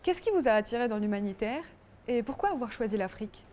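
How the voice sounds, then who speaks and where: A woman's voice: on the high side, expressive, fast, warm tone, a little hiss or hum. A woman host asking formal, polite interview questions.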